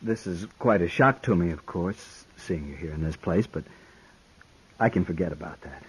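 A stiff Eastern gentleman. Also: low-pitched, moderate pace, slightly rough, poor audio. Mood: pleading. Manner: formal, pleading, hushed. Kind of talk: 1950s radio drama acting.

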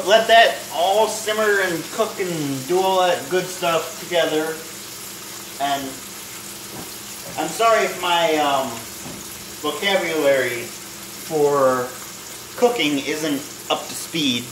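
Young guy doing a cooking vlog, kinda high voice, rambles and stalls, room sounds echoey. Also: fairly bright tone. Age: 20s. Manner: halting, rambling, self-deprecating. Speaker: home cooking vlogger. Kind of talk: casual cooking demonstration to camera. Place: small home kitchen and dining room. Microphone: handheld or propped phone near arm's length. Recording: roomy echo, kitchen noise with sizzling.

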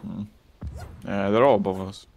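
A man's voice: lowish voice, flat delivery, gravelly, a little mellow, a little hiss or hum. A man streaming a team game, joking, swearing and calling out moves over voice chat. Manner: joking, swearing, giving quick game calls. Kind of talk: casual gaming chat. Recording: game music behind voice. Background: game music.

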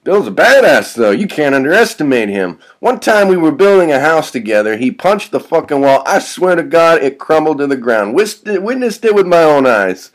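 A man's voice: on the high side, very animated, moderate pace, few pauses, voice pushed up, rough recording. A man voicing a brazen, carefree, crude hobo in a story, with an eager and shameless manner. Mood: admiring.

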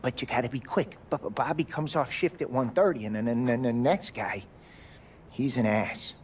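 An actor playing a nervous, eager mob mechanic with a heavy stutter in a video game. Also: male voice, medium pitch, normal speed, slight background noise, lightly acted. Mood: anxious, eager. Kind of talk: cinematic video game dialogue.

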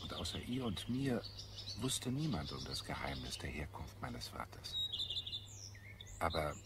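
An actor playing an earnest, idealistic scholar in a radio play, speaking in a solemn, measured, visionary way. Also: male voice, rumbling low, very animated, very noisy, heavily acted. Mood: solemn, confiding. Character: earnest idealistic male scholar, secretly half Martian. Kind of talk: German radio play acting. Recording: clear background birdsong and ambience. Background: birdsong in a garden scene.

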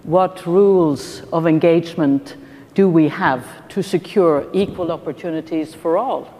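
A middle-aged Norwegian woman on a conference panel, speaking deliberately with frequent pauses. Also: mellow. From Norway. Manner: deliberate, hesitant with many uh pauses. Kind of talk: panel discussion. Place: large conference hall stage. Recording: reverberant hall, faint rumble.

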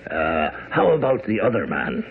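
Over a thin, phone-like radio recording, a stubborn, self-satisfied male police inspector. His husky voice blusters through a radio mystery. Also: low-pitched, big pitch swings, moderate pace, full character voice. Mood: grudging. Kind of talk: old-time radio drama acting. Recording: muffled, narrow-band radio broadcast.

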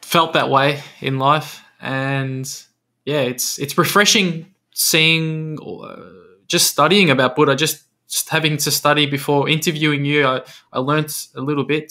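A man's voice: a little high, steady tone, measured pace, bright, forceful tone. A young man hosting an interview, asking casual questions in a hesitant way with many fillers, on a headset in a small room. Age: young adult. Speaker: podcast host.

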